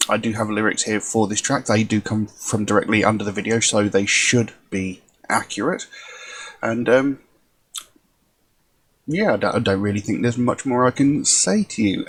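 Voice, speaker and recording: Man, hoarse, rough breathy tone, rambling, lots of ums, casual reaction host. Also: fairly low pitch. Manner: rambling, many ums and restarts. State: hoarse, croaky voice. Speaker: music reaction video host. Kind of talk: casual chat to camera.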